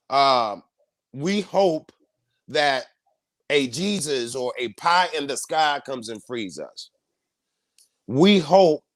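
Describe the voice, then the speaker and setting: A man's voice: fairly high pitch, no room sound. A man hosting a live online panel, speaking emphatically and repeating key phrases in a preaching cadence over a video call. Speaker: host.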